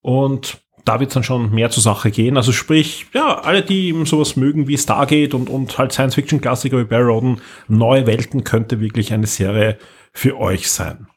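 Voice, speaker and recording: Male podcast host, Austrian accent, slightly rough husky voice, mid pitch, casual moderation. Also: normal speed.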